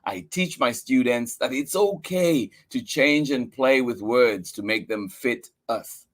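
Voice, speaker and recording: A man reading a picture book aloud for children, expressive and earnest, with emphatic delivery. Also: medium pitch, slow.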